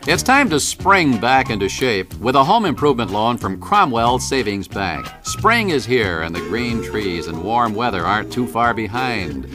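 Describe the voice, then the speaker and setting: A man's voice: medium pitch, sing-song, normal speed, hardly any pauses, over music. A man reading a scripted radio commercial as an announcer.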